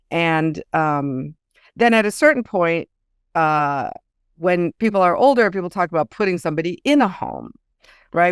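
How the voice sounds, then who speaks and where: A woman's voice: deep voice, clean tone, no room sound, clean studio sound. A woman author speaking as a podcast guest, conversational and reflective, telling things in a storytelling way. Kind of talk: casual conversational interview.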